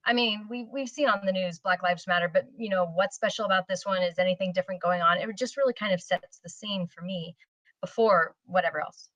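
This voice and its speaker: A woman's voice: medium pitch, fairly quick, fairly bright tone, no echo. A woman talking casually in a group discussion on a lo-fi microphone. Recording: slightly dull, lo-fi microphone.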